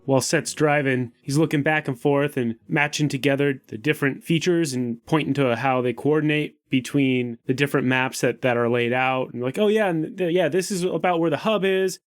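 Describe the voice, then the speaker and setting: Mid-pitched man improvising at a game table. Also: steady tone, moderate pace, hardly any pauses. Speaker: player in a tabletop role-playing game. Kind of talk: casual improvised game chat.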